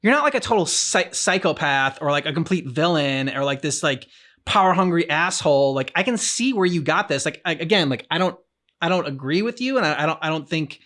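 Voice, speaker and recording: A man's voice: on the high side, lively intonation, a little fast, fairly bright tone. A man co-hosting a game reaction show, chatting casually, rambling and often repeating and restarting his phrases. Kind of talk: casual discussion of a game's story.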